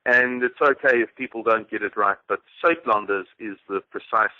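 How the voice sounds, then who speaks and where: A man's voice: on the low side, normal speed, no room sound. A man in his forties with a South African accent, a guest talking formally and precisely in a phone interview. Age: 40s. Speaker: guest, activist. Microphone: telephone. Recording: dry, tinny phone line.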